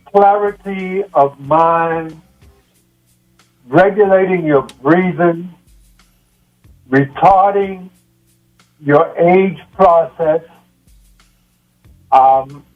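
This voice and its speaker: A man in his seventies talking reflectively as a guest, in halting, deliberate phrases with long pauses, through muffled stream audio. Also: high-pitched. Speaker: guest, yoga practitioner. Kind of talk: reflective conversational talk.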